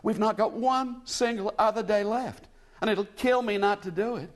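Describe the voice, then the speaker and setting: High, gushing young American woman, put on by a male narrator; tearful, lively, storybook reading. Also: normal speed. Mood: pleading.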